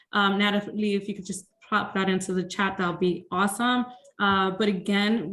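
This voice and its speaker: Upbeat woman hosting an online workshop. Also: medium pitch. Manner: upbeat, thanks guests, frequent fillers. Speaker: webinar host. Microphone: video call.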